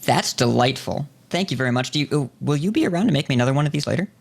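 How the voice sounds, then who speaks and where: A man's voice: on the high side, expressive, rapid-fire, gravelly, a little hiss or hum. A man hosting a podcast, talking conversationally in wordy, thought-out phrases. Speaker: podcast host. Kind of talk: conversational interview.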